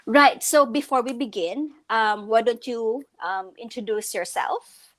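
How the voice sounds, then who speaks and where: A woman's voice: on the high side, a little slow, fairly bright tone. A woman from the Philippines co-hosting a casual online business chat, chatty and upbeat with frequent fillers and restarts. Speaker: co-host. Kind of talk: casual live-stream chat.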